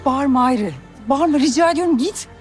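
Actress playing a soft-toned, reserved woman in her thirties. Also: sits high, a little fast, soft background music, lightly acted. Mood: upset, firm. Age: 30s. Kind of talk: television drama acting.

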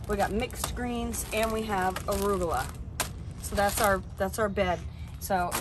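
A young woman vlogging at a campsite, talking in a chatty, excitable way with plenty of fillers. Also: mid-range voice, a little slow, noisy background. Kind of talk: casual camping vlog. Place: outdoor campsite beside a camper trailer. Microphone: camera on a tripod a few feet away.

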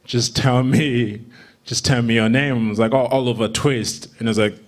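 A man in his twenties from Rwanda, a comedian guest telling casual, humorous stories haltingly into a handheld stage mic. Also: medium pitch, steady tone. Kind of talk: casual humorous storytelling in interview. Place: theatre stage before a seated audience. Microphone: handheld microphone.

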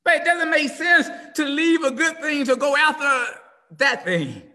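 Middle-aged preacher man on a video call, super high sharp voice, goes from shouting to whispering, lots of repeating. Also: expressive, unhurried. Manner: wide swings from loud shouting to hushed near-whisper, repetitive cadence. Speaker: pastor. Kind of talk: impassioned sermon. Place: home room with window blinds. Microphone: video call webcam. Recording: video call audio with long reverb.

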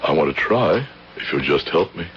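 An actor playing a middle-aged professor and hypnotist in a radio drama, speaking in a deliberate, formal, controlled way. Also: male voice, very deep voice, animated, a bit bright, a little hiss or hum. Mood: soothing. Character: probing, controlled older male professor and hypnotist. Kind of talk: 1970s radio drama acting. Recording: dull vintage radio recording. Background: music.

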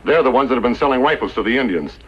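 An actor playing a composed, authoritative army major in a 1950s radio western, commanding and deliberate. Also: male voice, medium pitch, animated, a bit bright, lightly acted. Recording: muffled, hissy old radio recording.

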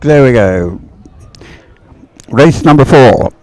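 A man giving chatty live sports commentary in a halting, unhurried way, as if thinking aloud. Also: mid-range voice, animated, a little mellow, no echo.

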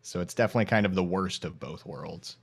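A young man gives his opinion in casual talk on a video call. His voice is very low in pitch. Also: fast talker, gravelly, breathy edge. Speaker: show cast or crew member. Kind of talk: casual chat. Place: indoors on a group video call.

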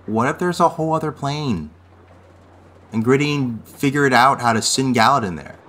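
A man playing an anxious, earnest teenage boy in a fantasy audio drama, speaking haltingly. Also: medium pitch, fairly bright tone, natural voice. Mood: earnest theorizing. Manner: hesitant, halting, stammering.

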